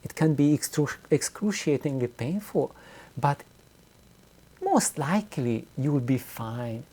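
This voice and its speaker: A middle-aged man giving an unscripted, reflective explanation to viewers, haltingly and with words repeated for emphasis. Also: mid-range voice, animated, dark tone, breathy edge. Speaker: audio hobbyist video host.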